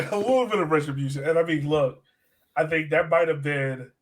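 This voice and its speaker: A man with a fairly high-set voice co-hosts a soccer fan podcast. He talks through matches in a casual, conversational way, animatedly acting out reactions as he goes. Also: a little fast. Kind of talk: casual sports chat, match analysis.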